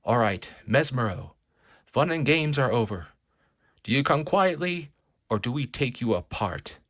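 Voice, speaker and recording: A man's voice: mid-range voice, sing-song, measured pace, a bit bright, no room sound, clean studio sound. A man voicing a commanding, stern superhero team leader in a comic book read-aloud.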